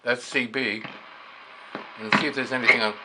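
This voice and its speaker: A man casually demonstrating amateur radio gear, haltingly thinking aloud as he works the controls. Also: mid-range voice, steady tone. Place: beside operating radio equipment. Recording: noisy recording with clear background hiss.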